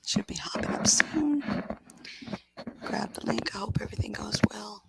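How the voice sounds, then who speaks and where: Raspy, croaky woman chatting while under the weather. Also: medium pitch, normal speed, in a whisper. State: sick with scratchy throat and cough. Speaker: livestream host. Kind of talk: casual livestream chat.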